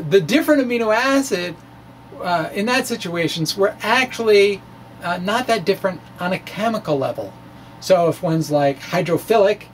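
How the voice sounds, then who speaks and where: Halting science blogger, distant noisy recording. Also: medium pitch. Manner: halting, with stumbles and self-corrections. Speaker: blogger reading own science essay aloud. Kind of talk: informal dramatic reading of a science blog. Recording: distant mic with faint background noise.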